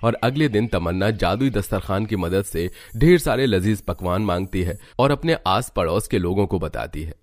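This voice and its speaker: A man's voice: on the low side, animated, moderate pace, few pauses, no echo. A man narrating a scripted cartoon family tale as its storyteller.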